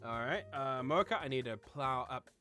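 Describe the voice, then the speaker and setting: A man's voice: medium pitch, expressive, moderate pace, sharp tone, faint music behind. A man hosting a word game in casual banter, with a playful, teasing manner.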